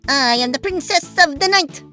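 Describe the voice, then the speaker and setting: A storyteller voicing tiny, excitable magical pony clones with a squeaky, childlike, chanting manner. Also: normal speed, faint music behind. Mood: wonder, bold.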